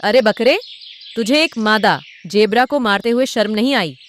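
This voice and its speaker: A woman's voice: fairly high pitch, normal speed, natural voice. Casting: woman for a plucky cartoon squirrel, firm and scolding, light bird noise behind. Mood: indignant. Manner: reproachful, firm. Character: bold, righteous little female squirrel.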